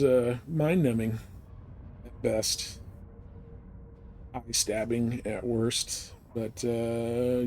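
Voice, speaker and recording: A man's voice: medium pitch, darkish tone. A man giving casual live gameplay commentary with a dry, wry manner. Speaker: game live streamer. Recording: noisy game stream.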